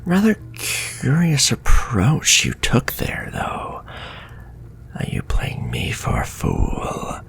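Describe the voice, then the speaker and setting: A man's voice: a bass voice, exaggerated voice. A man playing a sly, sadistic, flirtatious warlock in an ASMR fantasy roleplay, murmuring breathily and taunting his listener. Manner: slow, taunting, breathy murmur with soft chuckles. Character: sly, sadistic, flirtatious male warlock striking a cruel bargain.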